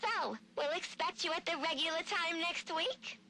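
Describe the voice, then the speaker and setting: A high, bright, sinister receptionist. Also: female voice, normal speed, a little hiss or hum, full character voice. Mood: polite menace. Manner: courteous, insistent, veiled threat. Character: politely sinister, insistent psychiatrist's receptionist. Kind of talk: dramatic video game phone-call acting.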